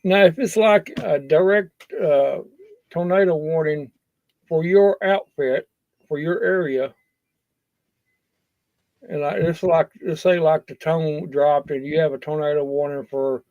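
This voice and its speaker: A man's voice: a little high, measured pace, darkish tone, dry recording. A man giving a casual, rambling safety talk in a folksy, earnest and blunt manner. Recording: dull, lo-fi sound.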